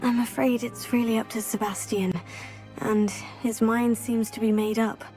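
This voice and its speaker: An actress playing a calm, polite young student witch who speaks in a composed way. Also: on the high side, normal speed, soft background music, natural voice, rough recording. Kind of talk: video game cutscene acting.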